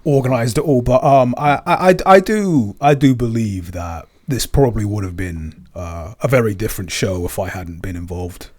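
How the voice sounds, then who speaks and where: A man chatting casually in a behind-the-scenes Q&A as a producer and composer, with a halting, self-effacing way of talking. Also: on the low side, a little mellow.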